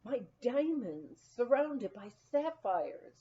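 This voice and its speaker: A woman's voice: fairly high pitch, very animated, slow pace, muffled recording. A woman voicing a fussy, wealthy older dowager from a memoir, with a plaintive, dramatic manner. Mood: plaintive, secretive. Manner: plaintive, moaning.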